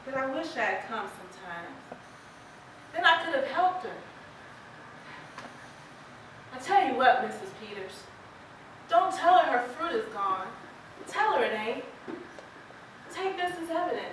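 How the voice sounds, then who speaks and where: Female, stage drama: actress as plainspoken farm wife, guilt-ridden and protective, bright forceful voice, very echoey hall. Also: sits high, big pitch swings, moderate pace, long pauses. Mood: urgent, guilty. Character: regretful, protective farmer's wife, plainspoken neighbour. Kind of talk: amateur stage play acting. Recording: echoey stage, distant mic, faint noise.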